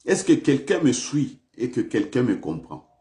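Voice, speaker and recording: A man's voice: medium pitch, very animated, moderate pace, mellow. A male pastor preaching a sermon in a church, repeating questions to the congregation with big swings of emphasis.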